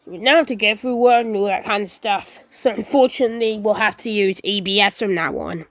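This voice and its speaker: A woman's voice: medium pitch, a little fast, no echo. A woman giving an improvised amateur disaster broadcast, rambling along, stumbling, repeating phrases and correcting herself. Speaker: creator and performer of a fan emergency-alert scenario.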